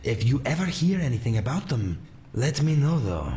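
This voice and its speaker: A man voicing a wistful, courteous male cat-folk adventurer in a video game, with formal, gentle phrasing. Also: mid-range voice, sing-song, moderate pace, a little hiss or hum, close to an everyday voice. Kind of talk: video game character acting.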